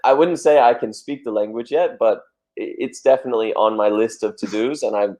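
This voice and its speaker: A male dancer chatting casually in an interview, telling animated stories and laughing often. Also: mid-range voice, a little fast.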